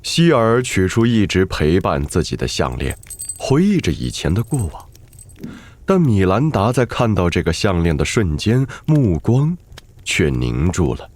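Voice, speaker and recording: Deep-voiced man narrating with dramatic swings. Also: wildly swooping pitch, measured pace, darkish tone. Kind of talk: scripted story narration.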